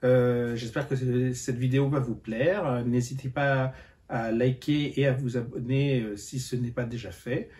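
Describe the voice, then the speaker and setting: A man's voice: medium pitch, steady tone, a little slow, a little mellow. A tired man chatting casually in a book vlog, hesitant and full of fillers and restarts. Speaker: book vlogger. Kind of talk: casual reading-update vlog.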